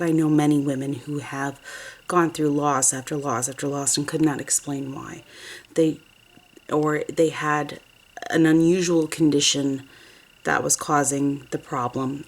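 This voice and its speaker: Low-voiced woman with a sniffly head cold. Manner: chatty, rambling, self-correcting. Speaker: nail art vlogger. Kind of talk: casual tutorial talk. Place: home desk, nail station. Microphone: overhead camera, hands only.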